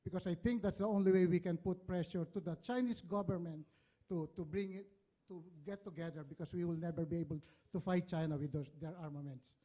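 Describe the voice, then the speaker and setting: A man with a Filipino accent putting an earnest, halting, long-winded question from the floor at a public event. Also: high-pitched, steady tone, mellow. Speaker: audience questioner, community organizer.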